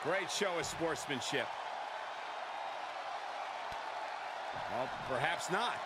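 A man playing an excitable, indignant wrestling announcer in a video game, calling the action with dramatic, emphatic exclamations. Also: fairly high pitch, moderate pace, close to an everyday voice. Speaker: play-by-play wrestling commentator. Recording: loud arena crowd noise. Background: roaring crowd and arena ambience.

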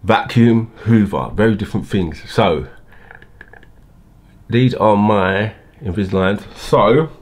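Thirties male vlogger, low-ish voice, mild aligner lisp, casual chatty delivery at his home desk camera. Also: slow pace, pauses often. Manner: chatty, talks while fiddling with his teeth. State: slight lisp from new clear teeth aligners. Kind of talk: casual unboxing vlog. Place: home room by a window.

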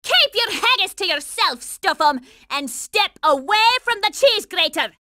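A woman's voice: extremely high pitch, animated, measured pace, pushed and bright, no room sound, clean studio sound, heavily acted. A woman voicing a bossy, hot-tempered young girl soldier in a cartoon video game, brash, scolding and sarcastic. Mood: impatient, bossy.

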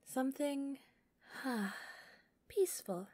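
Woman voicing a shy clingy yandere girl, soft n hesitant, kinda high voice, super slow, roleplay audio. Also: no room sound, exaggerated voice. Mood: tender, coaxing. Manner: soft, hesitant, coaxing, breaking into whispers. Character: shy, sweet, obsessively devoted young female yandere captor. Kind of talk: intimate audio roleplay addressed to the listener.

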